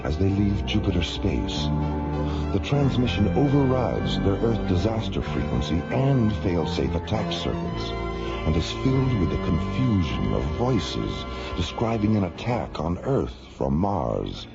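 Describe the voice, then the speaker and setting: A man's voice: low voice, big pitch swings, unhurried, vocal fry. A man narrating a science fiction radio drama in a dramatic, scripted style. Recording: muffled old radio recording, loud music.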